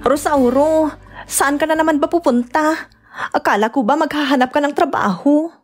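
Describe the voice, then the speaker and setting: A woman playing a young, caring but complaining provincial wife in an expressive radio drama. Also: sits high, normal speed, soft background music, lightly acted. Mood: worried. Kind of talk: Ilocano radio drama acting.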